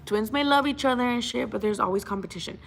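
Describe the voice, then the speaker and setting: A woman's voice: fairly high pitch, fast, vocal fry, a little hiss or hum. Chatty young woman. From Mexico. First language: Spanish. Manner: chatty, frank, swears freely, many restarts. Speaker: boxing channel host. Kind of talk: casual livestream chat.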